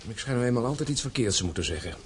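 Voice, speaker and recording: A man's voice: medium pitch, expressive, rapid-fire, natural voice. Guy acting moody stubborn rich son, voice jumps about, bit of hiss, old radio play. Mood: sullen. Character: sullen, stubborn young gentleman, idle rebellious son.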